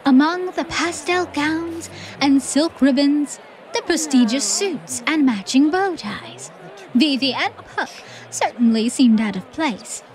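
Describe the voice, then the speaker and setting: High-voiced, expressive woman storyteller. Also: rough recording. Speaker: audiobook narrator. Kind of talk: scripted theatrical story narration.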